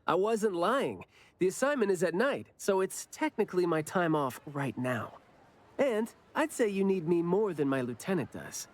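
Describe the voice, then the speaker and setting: A man's voice: high-pitched, big pitch swings, moderate pace. An actor voicing a laid-back, glib male captain in an anime game, teasing and breezily justifying himself. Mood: smooth, teasing.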